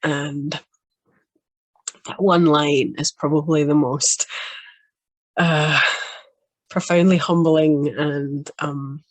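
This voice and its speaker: Slow, low-voiced woman, gently chatty. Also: fairly even intonation, no echo. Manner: gentle and grateful, with frequent ums and soft laughs. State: still under the weather after illness. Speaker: quantum healing hypnosis practitioner. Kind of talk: casual chat to viewers.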